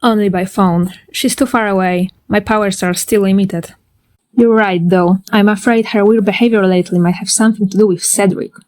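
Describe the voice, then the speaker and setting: A woman's voice: mid-range voice, steady tone, moderate pace, darkish tone, lightly acted. A woman plays a serious teenage girl with magic powers in a fan-dubbed comic. Her voice sits in a middle register, careful and concerned. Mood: serious, worried. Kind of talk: fan-dub comic voice acting.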